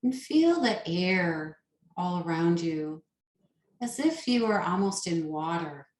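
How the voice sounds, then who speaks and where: A woman guides a meditation in a roomy, echoing space. Her voice sits a little low and she speaks very slowly. She is soothing and unhurried, leaving pauses between short phrases. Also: expressive, darkish tone. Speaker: yoga nidra meditation teacher.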